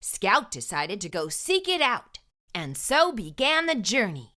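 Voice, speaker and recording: Bright, animated woman reading a children's story. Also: medium pitch. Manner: expressive, emphatic delivery with deliberate phrasing. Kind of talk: read-aloud storytelling for young children.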